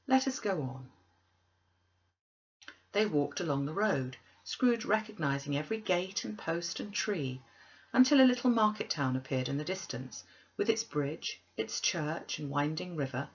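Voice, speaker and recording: A woman narrating a classic tale as a storyteller, with expressive, deliberate, dramatic phrasing. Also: sits low, slightly rough, lo-fi sound.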